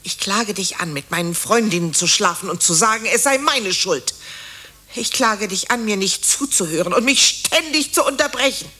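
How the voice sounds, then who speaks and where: An actress in a radio drama playing a bitter, accusing wife who speaks in an emphatic, declamatory way. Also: female voice, medium pitch, expressive, normal speed, pushed and bright. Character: bitter, accusing wife Simone, fed up with her husband.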